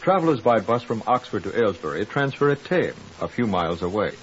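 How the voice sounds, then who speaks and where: An actor as a formal narrator, giving precise scripted exposition in a 1950s radio drama. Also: male voice, on the low side, very animated, fast talker. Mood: informative. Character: informative documentary-style radio narrator. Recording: dull, hissy 1950s radio recording.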